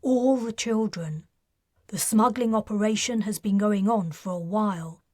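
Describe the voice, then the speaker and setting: A woman's voice: medium pitch, unhurried, a little mellow, no room sound, studio quality, lightly acted. A woman voicing an earnest, guilt-ridden male army major who talks urgently and pleads to be understood.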